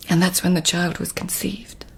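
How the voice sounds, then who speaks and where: An actress playing a noblewoman court writer in labour, speaking in a hushed, confiding whisper in a radio drama. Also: fairly low pitch, level tone, moderate pace, a little hiss or hum, close to an everyday voice.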